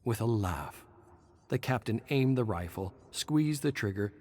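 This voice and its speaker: A man narrating scripted horror fiction in a dramatic, deliberate storytelling manner. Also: lowish voice, expressive, a little mellow.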